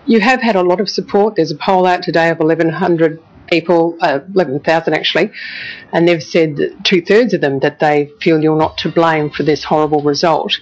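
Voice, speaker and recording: A woman's voice: low voice, steady tone, fairly quick. A woman with an Australian accent conducting a broadcast news interview in an earnest, probing, emotive way. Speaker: television journalist interviewing. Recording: dull, band-limited broadcast audio.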